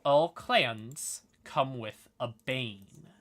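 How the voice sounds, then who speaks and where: A man's voice: medium pitch, extremely slow. A man hosting a livestream, explaining fantasy lore in a casual, informal manner with some swearing. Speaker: live streamer and host.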